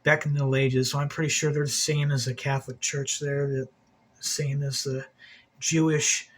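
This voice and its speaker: A man's voice: medium pitch, flat delivery, normal speed, slight background noise. A man hosting an occult video, talking in a rambling, agitated monologue, swearing a lot and repeating himself.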